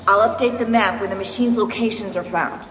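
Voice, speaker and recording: An actress playing a brisk, informative modern-day mission guide in a video game. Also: female voice, medium pitch, a bit bright, a little hiss or hum, close to an everyday voice. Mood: businesslike. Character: businesslike female mission guide, modern-day assassin ally. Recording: echoing, long reverb, faint music.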